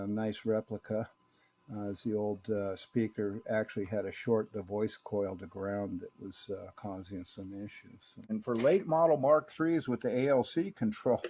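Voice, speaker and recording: A man's voice: mid-range voice, darkish tone. American man explaining a repair. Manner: informal hobbyist talk with technical jargon. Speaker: radio technician and collector presenting restoration. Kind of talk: hands-on repair walkthrough narration.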